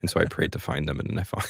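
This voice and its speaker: Creaky deep-voiced man hosting a call-in. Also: fast talker, a bit bright, dry recording. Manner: chatty, self-deprecating, joking. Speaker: host of a call-in stream. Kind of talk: casual chat.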